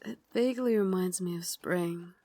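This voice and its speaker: A woman playing a refined, tender but tormented Victorian vampire lady in an ASMR roleplay, speaking in a formal, genteel way with breathy asides. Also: on the low side, normal speed, mellow, a little hiss or hum, close to an everyday voice. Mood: poised, wistful. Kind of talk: ASMR roleplay, dramatic monologue.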